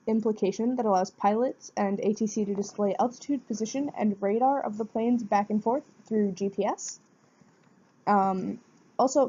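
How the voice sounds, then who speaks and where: A woman's voice: medium pitch, dark tone. A woman gives a prepared informative presentation in an explanatory way, posing questions and answering them. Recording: dull, muffled lo-fi sound.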